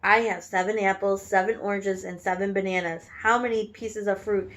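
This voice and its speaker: A woman's voice: medium pitch, steady tone, a bit bright, close to an everyday voice. A woman voicing a bullying, furious headmistress who hurls insults, reading a children's story aloud. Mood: barking. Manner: roaring, spitting out insults slowly.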